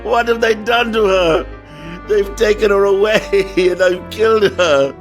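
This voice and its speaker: An actor playing a tormented amnesiac composer in an asylum in a radio drama, halting and theatrical. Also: male voice, high-pitched, music in the background. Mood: grief-stricken hysteria. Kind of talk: old-time radio drama acting.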